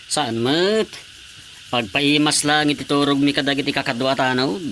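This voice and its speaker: A man's voice: mid-range voice, normal speed, a bit bright, a little hiss or hum, close to an everyday voice. A man playing a hapless village councilor on patrol in a comic radio drama, hesitant and full of excuses. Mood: defensive.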